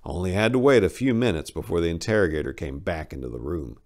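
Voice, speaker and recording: A man's voice: sits low, sing-song, fast, darkish tone. A man narrating a short story as a dramatic reading, as its host.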